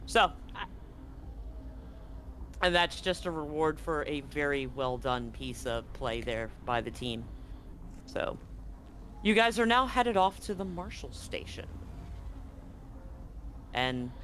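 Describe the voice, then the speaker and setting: A man with a fairly high voice works as game master for a tabletop roleplaying game. He narrates and explains in an addressing way to players and listeners, with faint noise behind him. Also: animated, slow pace, long pauses, fairly bright tone.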